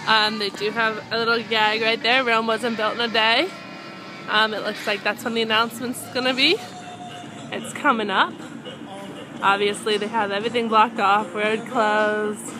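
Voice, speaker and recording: A woman's voice: fairly high pitch, fairly even intonation, normal speed, noisy background. Chatty young woman touring a theme park. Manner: chatty, meandering, lots of ums. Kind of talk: casual walking tour commentary. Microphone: handheld phone camera at arm's length.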